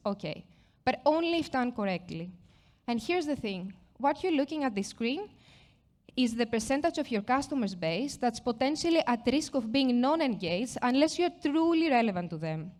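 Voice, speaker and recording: A woman from Greece with a Greek accent, giving a conference product presentation in a chatty, self-deprecating way with frequent fillers and restarts. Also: a little high. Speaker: product marketing manager presenting. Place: conference stage.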